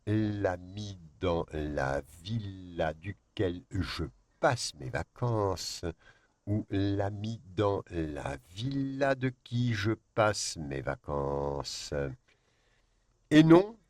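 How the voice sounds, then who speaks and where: A man whose first language is Spanish, teaching a French grammar lesson. He reads the rules slowly, then drifts into quick joking asides. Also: deep voice, lively intonation, darkish tone, dry recording.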